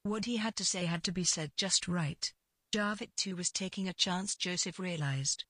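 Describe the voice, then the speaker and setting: A woman with a husky voice narrating literary fiction as a scripted read, in deliberate phrases with pauses. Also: lowish voice, fairly bright tone, no echo, clean studio sound.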